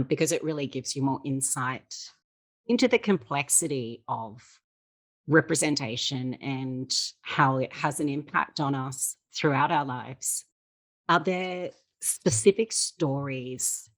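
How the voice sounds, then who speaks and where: A woman hosting an interview, asking measured and encouraging questions. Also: extremely low pitch. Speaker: interviewer host.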